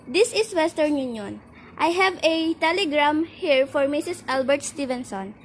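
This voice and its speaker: A woman's voice: high-pitched, animated, moderate pace, a little hiss or hum. A woman playing a businesslike telegraph clerk in a radio play, formal and polite.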